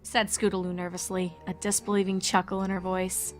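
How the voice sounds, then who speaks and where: A woman narrates a written story in a measured storytelling manner. Her pitch is average for a woman. Faint music plays underneath. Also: flat delivery. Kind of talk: scripted fan fiction narration.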